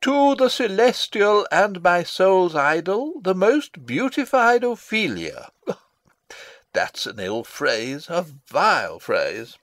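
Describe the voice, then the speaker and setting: Pompous old royal advisor, shakespeare style, slow n rambling, super swoopy pitch. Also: male voice, very animated, a bit bright, dry recording, heavily acted. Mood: theatrical, declaiming. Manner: rambling, self-satisfied, theatrical. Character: pompous, long-winded old male royal counsellor and father. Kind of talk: classical Shakespearean stage acting, dramatic reading.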